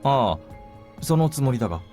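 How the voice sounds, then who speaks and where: An actor playing a calm, dry, protective young man in a Japanese visual novel. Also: medium pitch, racing, faint music behind, natural voice.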